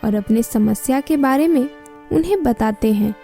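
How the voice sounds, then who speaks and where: Woman, high-pitched, scripted children's story narration, faint music. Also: expressive, normal speed, warm tone.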